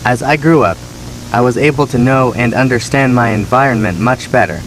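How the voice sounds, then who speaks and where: A man's voice: mid-range voice, steady tone, fairly quick, close to an everyday voice. Neglected younger son played by a man, noisy recording. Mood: bitter, sad. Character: neglected, resentful younger son recounting childhood punishments. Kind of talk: scripted first-person horror story narration.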